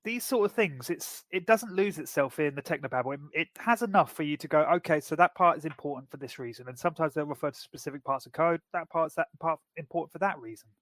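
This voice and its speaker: A man's voice: a little high, fast talker, hardly any pauses, a bit bright, no room sound. A man co-hosting a podcast, casually discussing film and TV in a wordy, explanatory way. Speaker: podcast co-host.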